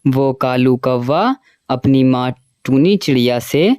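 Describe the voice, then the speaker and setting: Storybook narration for a cartoon, slow, even, close and dry. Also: mid-range voice, clear, resonant tone, no room sound. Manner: plain storytelling.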